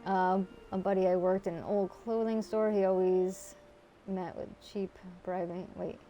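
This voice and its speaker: A woman's voice: mid-range voice, fairly even intonation, a little slow, warm tone, dull audio, soft background music. Chatty woman with a Connecticut accent. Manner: chatty, reads and answers chat, many fillers. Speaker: streamer. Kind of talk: casual live-stream chat while gaming.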